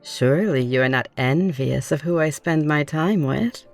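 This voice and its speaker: Actress as courteous female vampire aristocrat, ASMR roleplay; slightly low voice, playful poise, faint music underneath. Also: moderate pace.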